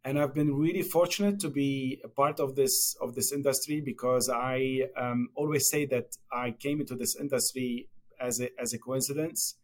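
A middle-aged man from Lebanon with a Lebanese accent, answering as a guest on a podcast interview, speaking haltingly with many fillers and restarts. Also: mid-range voice, fairly even intonation, darkish tone. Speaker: pharmaceutical company executive, guest.

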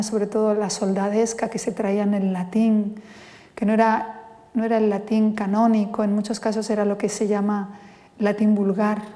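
Spanish woman poet, lecture delivery, warm, mellow tone, reverberant hall. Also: mid-range voice. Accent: Spain Spanish. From Spain. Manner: thoughtful, measured, lingers on words. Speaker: poet giving a talk. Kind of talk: reflective lecture with poetry reading.

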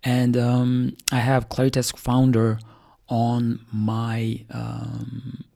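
A man's voice: mid-range voice, completely flat delivery, drawn-out words, vocal fry, dark tone. Rambling man. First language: Albanian. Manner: rambling, with many fillers and restarts. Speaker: startup founder. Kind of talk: casual solo update.

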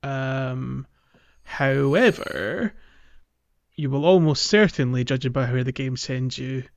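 A man's voice: mid-range voice, takes it slowly, no room sound, clean studio sound. A man co-hosting a podcast, chatting casually about a game in a hesitant, meandering way. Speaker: podcast host. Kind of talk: casual game playthrough chat.

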